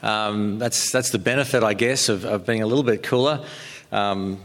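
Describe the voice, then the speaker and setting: A man from New Zealand with a New Zealand accent, a pastor giving a sermon in a halting, conversational way with many ums and restarts. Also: lowish voice, fairly even intonation, a bit bright. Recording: long hall reverb.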